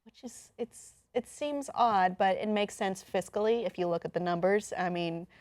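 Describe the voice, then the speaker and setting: A woman's voice: mid-range voice, normal speed, darkish tone, dry recording, clean studio sound. A woman hosting an online entertainment news show, explaining things in a casual, conversational way. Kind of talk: casual news chat with a co-commentator.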